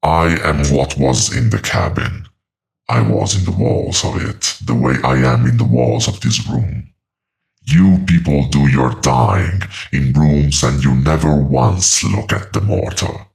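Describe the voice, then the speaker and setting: A man playing an ancient, patient demonic entity in a horror audio drama, speaking with calm, mocking, deliberate menace. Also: rumbling low, creaky, full character voice. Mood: cold, patient.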